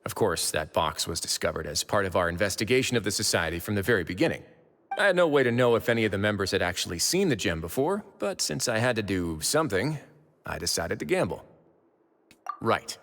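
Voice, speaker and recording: A man playing a composed, shrewd prison official in a video game, speaking in a measured, dryly courteous manner. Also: fairly low pitch, expressive, fairly bright tone, big-room sound, slight background noise. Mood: self-assured. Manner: measured, unhurried, dry. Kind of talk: video game character acting. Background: game music.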